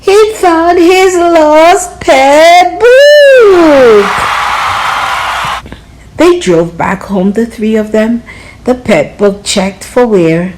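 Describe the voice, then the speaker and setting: Lady reading a kids picture book out loud, highish voice, super expressive, takes her time. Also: a little hiss or hum, low-quality recording. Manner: expressive, dramatic, drawn-out delivery for young children. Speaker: read-aloud storyteller. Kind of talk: animated children's storybook read-aloud.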